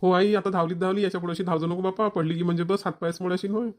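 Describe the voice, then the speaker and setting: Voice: mid-range voice, fairly even intonation, very fast, natural voice. A performer voicing a caring, mildly nagging village man in a family cartoon, with a rural Maharashtrian accent. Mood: concerned. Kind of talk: Marathi family cartoon voice acting.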